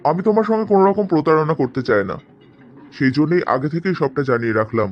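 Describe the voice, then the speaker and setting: A man's voice: on the high side, sing-song, fast, lo-fi sound. A man playing a proud young rich bridegroom in a cartoon drama, speaking coldly and bluntly. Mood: cold, scornful.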